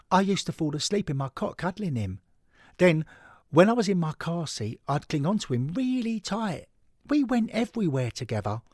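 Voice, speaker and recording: Man voicing a chatty young fan, very lively pitch. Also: no echo, studio quality. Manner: breezy, familiar, tag questions. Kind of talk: scripted audio story monologue.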